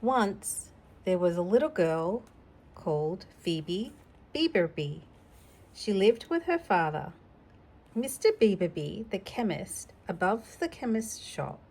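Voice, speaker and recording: A woman's voice: medium pitch, very animated, takes it slowly, darkish tone, husky. A middle-aged woman hosting a children's storytime, chatting casually and explaining things simply as if to a child. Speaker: storytime host reading children's books. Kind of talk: casual read-aloud with chatty asides.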